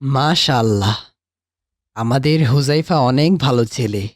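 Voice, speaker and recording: A man voicing a kindly, pious grandfather who speaks gently and instructively in a cartoon. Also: medium pitch, a little slow, dry recording, clean studio sound, close to an everyday voice. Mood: pleased. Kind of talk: animated cartoon voice acting.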